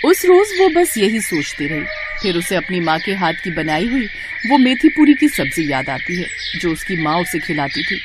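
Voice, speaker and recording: A woman narrating a scripted moral tale for animation in an expressive storytelling manner. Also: fairly low pitch, normal speed, noisy background.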